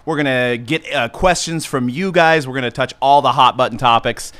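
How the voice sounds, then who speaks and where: A man's voice: mid-range voice, moderate pace, a bit bright. Upbeat man hosting a live talk show. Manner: upbeat, loose, laughs often. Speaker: host.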